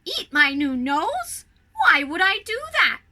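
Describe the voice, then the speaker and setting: A woman voicing a small, naive, excitable male snowman in a storybook, eager and exclamatory. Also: extremely high pitch, slow pace, exaggerated voice. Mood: puzzled.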